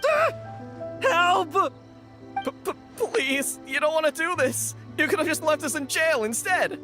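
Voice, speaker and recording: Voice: high voice, big pitch swings, measured pace. An actor playing a cowardly, panicky young gang underling in a video game, stammering and pleading. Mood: panicked pleading. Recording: music behind the voice.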